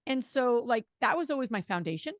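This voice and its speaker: A middle-aged woman from New Jersey with a slight Jersey accent, talking fast and chatty as an interview guest. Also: fairly high pitch, animated, no room sound, studio quality. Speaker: guest, real estate investor. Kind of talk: casual interview talk.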